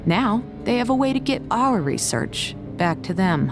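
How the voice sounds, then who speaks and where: A woman playing a pragmatic, sceptical research scientist in an audio drama, speaking in a dry, measured manner. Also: mid-range voice, big pitch swings, music in the background. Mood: dry, explaining. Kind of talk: naturalistic audio drama acting.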